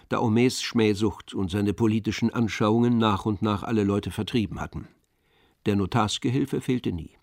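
A man narrating a literary audio drama in measured, polished storytelling phrases. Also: lowish voice, a little mellow, no room sound, studio quality.

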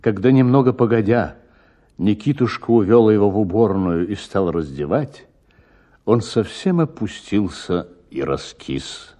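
A male narrator reads literary prose for a radio play. His voice is low and mellow. He takes the scripted story at a slow pace. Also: animated.

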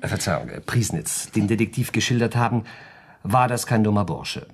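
Husky, animated, pedantic lawyer. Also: male voice, on the low side, fairly quick, full character voice. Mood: dry, polite. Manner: precise, lecturing, polite, theatrically varied. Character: urbane pedantic male lawyer, coolly reasoning through crimes. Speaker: lawyer giving legal advice. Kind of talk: German radio play dialogue.

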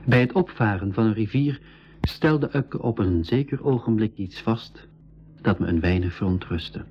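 Low-voiced male storyteller of travel adventures. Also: lively intonation, natural voice. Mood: measured, reflective. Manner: measured storytelling. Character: boy narrator recounting his travel adventures, measured and reflective. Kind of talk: scripted narration in a school radio drama. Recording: dull 1980s radio recording.

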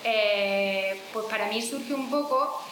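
A woman's voice: medium pitch, slow pace. Andalusian woman in an echoey room. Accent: Andalusian Spanish. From Jaén, Spain. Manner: hesitant, many restarts and fillers. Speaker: speaker presenting a fanzine. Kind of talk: informal public talk. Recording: echoey room with background noise.

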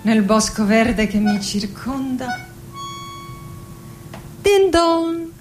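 High-voiced, melancholy woman poet, slow and dramatic. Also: lively intonation. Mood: dreamy, wondering. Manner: slow, dramatic, hushed, often breaking into whispers. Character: melancholy 46-year-old woman poet bidding farewell to life. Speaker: actress and director. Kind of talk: theatrical poetic monologue with music. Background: music.

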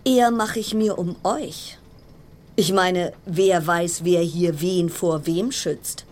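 A woman's voice: fairly low pitch, fairly even intonation, takes it slowly, a little mellow. An actress playing an uneasy, wry woman confined aboard a ship, musing in fragments in a radio play monologue.

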